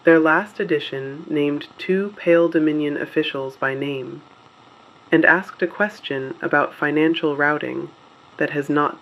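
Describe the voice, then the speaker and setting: A grave resistance broadcaster played by a voice actor reads out the missing in a dystopian audio drama. The delivery is very slow and deliberate, with a medium-pitched voice and solemn restraint. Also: highs cut off.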